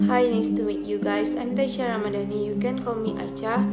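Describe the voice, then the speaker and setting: A woman's voice: on the high side, steady tone, brisk. A young woman from Indonesia with an Indonesian accent gives a rehearsed, explanatory student presentation in English as a second language. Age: young adult. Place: small furnished living room at home. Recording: roomy echo, loud guitar music behind. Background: loud plucked guitar music.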